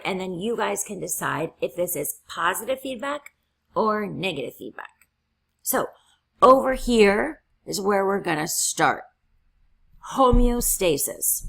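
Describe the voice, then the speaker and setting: A woman's voice: mid-range voice, fairly bright tone. A woman instructor giving a college lecture while losing her voice, explaining step by step and checking in with "right?". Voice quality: husky, gravelly. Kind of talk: classroom lecture.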